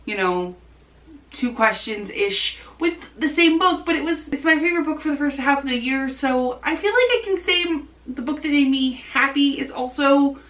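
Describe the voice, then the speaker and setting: A woman's voice: high voice, lively intonation, moderate pace, a bit bright. A woman chatting casually and enthusiastically about books as a vlogger, recorded in a roomy space at some distance from the mic. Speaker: book vlogger. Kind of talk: casual book review chat to camera.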